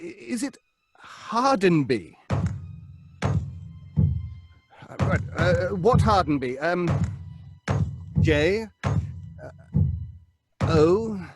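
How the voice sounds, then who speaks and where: High-pitched, slow, solemn séance leader. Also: male voice, full character voice. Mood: hushed, halting. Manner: hesitant, halting, formal. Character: earnest, anxious male séance leader, pleading and solemn. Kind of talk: old British radio horror drama acting.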